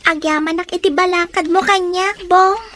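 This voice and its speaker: A woman's voice: extremely high pitch, fairly even intonation, normal speed, fairly bright tone. A young woman voicing a stubborn student daughter in an audio drama, talking in an insistent, pleading way. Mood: earnest. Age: young adult. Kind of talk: Ilocano radio-style audio drama.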